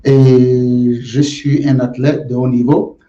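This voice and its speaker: A man from Gabon, a guest in an interview, answering in a slow, deliberate and reflective way. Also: medium pitch, flat delivery, warm tone, faint music behind. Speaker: guest, martial arts champion. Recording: roomy, dull lo-fi sound.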